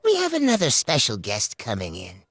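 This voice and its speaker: Low-voiced, very animated woman hosting. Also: normal speed, dry recording, studio quality. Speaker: puppet show host. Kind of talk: playful improvised show hosting.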